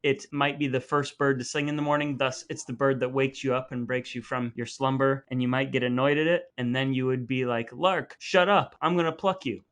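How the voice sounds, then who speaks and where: A man's voice: mid-range voice, fairly even intonation, fairly quick, few pauses, fairly bright tone. An American man hosting a casual comedy podcast in a playfully mock-grand, theatrical manner. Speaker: podcast host.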